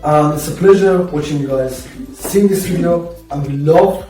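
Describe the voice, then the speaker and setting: Young man, earnest, hesitant, higher voice, echoey room. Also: moderate pace, warm tone. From Iran. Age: young adult. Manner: earnest, asks questions with hesitations. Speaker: panel guest. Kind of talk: casual panel chat. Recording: echoey room, mic at a distance, music underneath.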